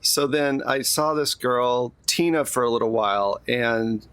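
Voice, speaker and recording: A man's voice: mid-range voice, measured pace, a bit bright, clear, resonant tone, dry recording, natural voice. A man voicing a hesitant, rambling, lovelorn suited man in a tabletop game. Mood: glum confiding.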